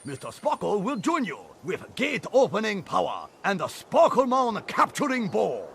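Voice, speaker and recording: Shrill, bombastic game mascot creature. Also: male voice, huge pitch swings, moderate pace, vocal fry, a bit bright, a little hiss or hum, full character voice. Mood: grand explaining. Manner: bombastic, over-enthusiastic, stilted phrasing. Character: excitable Japanese mascot creature guide, pompously dramatic, broken English. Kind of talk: video game cartoon voice acting.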